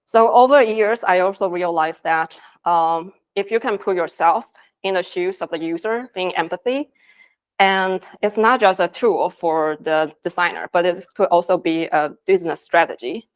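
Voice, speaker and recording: A woman's voice: fairly low pitch, normal speed, clear, resonant tone. A woman from Taiwan, earnestly presenting at a conference in phrasing. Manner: earnest, with non-native phrasing. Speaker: conference speaker, design lead. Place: conference stage.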